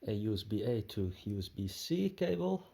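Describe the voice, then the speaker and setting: A man's voice: on the low side, big pitch swings, normal speed, gravelly, dark tone, slight background noise. A man thinking aloud in short, tentative fragments as he gives casual unboxing commentary indoors. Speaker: product reviewer. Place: indoor room with tiled floor.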